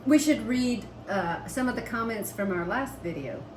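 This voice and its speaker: A woman's voice: a little high, animated, moderate pace. An American woman co-hosting a homestead vlog, chatting casually and reading viewer comments. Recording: roomy, distant mic, background noise.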